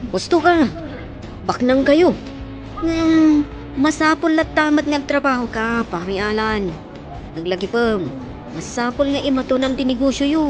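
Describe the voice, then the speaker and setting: Casting: woman playing practical Ilocano pal full of advice, high voice, talkative, faint musical backing. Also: a little slow, over music. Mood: advising. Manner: advising, chatty. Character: matter-of-fact, chatty friend who gives advice. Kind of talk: audio drama acting.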